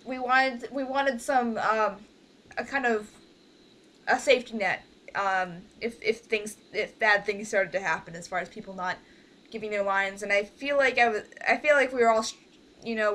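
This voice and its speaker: A woman leading an online meeting as producer, talking casually in a halting, rambling, informal way. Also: medium pitch, fairly bright tone. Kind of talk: casual group meeting talk. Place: online group voice call.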